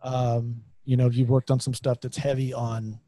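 A man's voice: mid-range voice, flat delivery, normal speed, mellow. A man hosting a podcast interview, chatty and rambling, circling around his questions with many restarts. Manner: rambling, lots of fillers and restarts. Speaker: podcast host. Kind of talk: casual interview.

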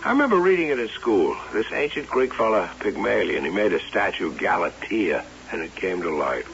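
An animated, obsessive carnival showman, old radio. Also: lowish voice, normal speed, creaky, exaggerated voice. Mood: musing, rueful. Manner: musing, defensive, excitable. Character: obsessive carnival showman and inventor, smitten with his wax doll. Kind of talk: old-time radio drama acting with first-person narration. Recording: muffled, hissy old radio recording.

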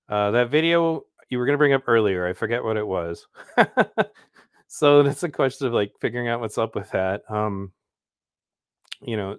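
A man's voice: mid-range voice, clean tone, dry recording, studio quality. A man hosting a live reaction stream, talking casually and rambling as he reads chat messages aloud. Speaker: livestream host.

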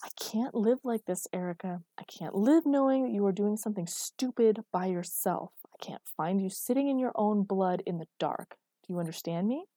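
A woman's voice: fairly high pitch, lively intonation, moderate pace, gravelly, warm tone, slightly rough, no room sound, pitched above the performer's own voice, exaggerated voice. A woman voicing an angry, controlling but protective and exasperated man in a dramatic story reading. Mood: furious, commanding.

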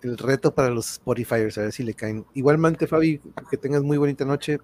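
A man's voice: mid-range voice, fairly quick, a little mellow. A man with a northern Mexican accent hosting a casual livestream, chatting and dropping in English phrases. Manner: sprinkles English phrases into his talk. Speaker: host of an online livestream show. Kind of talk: casual livestream sign-off chat. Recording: clear background noise.

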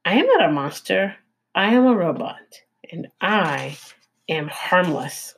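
A polite storybook robot who chooses her words with stiff, careful formality, as a woman reading aloud puts her on. The voice sits fairly low with a husky grain. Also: big pitch swings, slow pace, full character voice. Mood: overly polite. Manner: stiff, overly formal, precise.

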